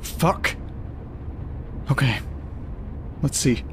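A man's voice: drawn-out words, noisy background. An actor playing a bewildered, sardonic, sweary man alone at night, muttering hesitantly in an audio drama monologue. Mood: shaken, incredulous. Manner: hesitant, muttering, dryly sarcastic. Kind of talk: audio drama monologue, recorded-diary delivery.

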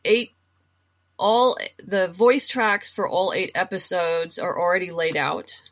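A woman's voice: on the low side, slow pace, fairly bright tone. A woman from the United States talking as a guest in a casual, conversational interview. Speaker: interview guest, writer and producer.

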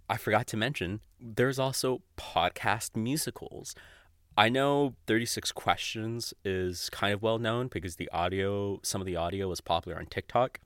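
A young adult man hosting a podcast solo, chatting casually and off the cuff in a giggly, rambling, sweary way with halting false starts. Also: lowish voice, no echo, studio quality. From Mexico or of Mexican family. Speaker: podcast host. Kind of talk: casual unscripted podcast monologue.

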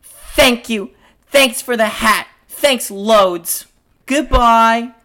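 A woman's voice: a little high, drawn-out words, fairly bright tone, voice pushed up. A woman playing a coy, breezy young woman from a stage musical, with a sing-song theatrical delivery. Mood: breezy, bright. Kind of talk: amateur stage script read-through.

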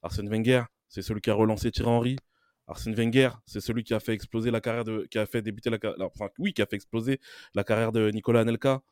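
A man with a fairly low voice in a very dry recording. He speaks as a podcast panelist in casual discussion, returning to the same phrase to hammer each point home. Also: fairly even intonation, quick pace, a little mellow, smooth, no room sound. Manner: builds points through emphatic repetition. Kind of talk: admiring opinion in casual discussion.

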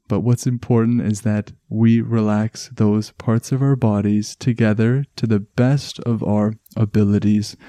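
A man with a Canadian accent hosting a calm relaxation podcast, speaking in a soothing, unhurried way. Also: lowish voice, dry recording. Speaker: podcast host. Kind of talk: slow relaxation podcast narration.

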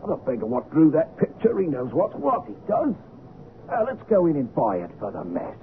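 An actor playing a rough, plain-spoken common artillery soldier, colloquial and admiring, in an old radio drama. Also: male voice, on the high side, normal speed. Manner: colloquial, animated. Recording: noisy, tinny old radio broadcast recording.

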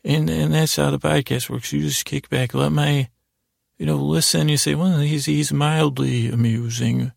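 Male podcast host, heavy vocal fry, flat intonation, meandering and halting bedtime ramble. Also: on the high side, dry recording, clean studio sound. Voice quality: gravelly, creaky. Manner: meandering, halting, repeats and trails off. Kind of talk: rambling improvised bedtime monologue.

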